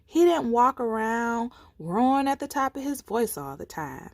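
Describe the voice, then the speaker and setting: A woman reads a children's story aloud at an unhurried pace. Her pitch moves widely, with theatrical, deliberate phrasing. The recording is close and dry. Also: big pitch swings, vocal fry, no echo. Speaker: storytime reader. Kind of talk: expressive read-aloud of children's folktales.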